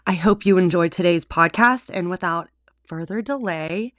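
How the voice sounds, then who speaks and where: A woman's voice: lowish voice, normal speed, fairly bright tone, no echo, studio quality. Upbeat woman reading a podcast intro. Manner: upbeat, friendly announcing. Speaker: podcast host. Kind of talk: scripted intro.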